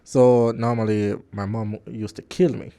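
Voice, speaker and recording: Gravelly, low man. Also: little pitch movement, a little slow, dark tone. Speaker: studio engineer, guest on the show. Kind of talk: casual radio talk show chat.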